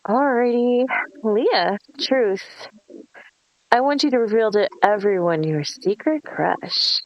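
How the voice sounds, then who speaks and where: Woman acting brash young woman, high slow drawl. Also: expressive, dry recording, dull audio. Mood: teasing. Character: brash, foul-mouthed young woman in a horror script. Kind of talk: horror script table read.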